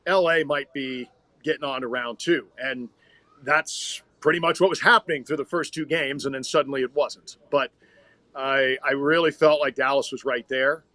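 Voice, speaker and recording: A man's voice: medium pitch, steady tone, normal speed, pushed and bright, no room sound. An American man, a radio hockey announcer, talking and telling stories conversationally as a podcast guest. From Minnesota, United States.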